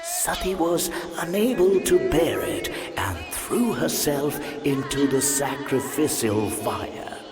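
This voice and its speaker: A man's voice: a little high, expressive, takes it slowly, few pauses, music in the background. A man playing an ancient god who narrates myths in a video game, theatrical and weighty, dwelling on his words. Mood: grave, dramatic. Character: ancient male god, grand storyteller of myths.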